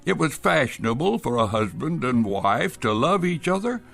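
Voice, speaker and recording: A man's voice: medium pitch, big pitch swings, normal speed, creaky, a bit bright, soft background music, full character voice. An actor playing a stubborn, witty old man in a radio drama, wry and evasive, turning questions back. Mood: wry, defensive. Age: older. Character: witty, stubborn old man devoted to his heirloom clock.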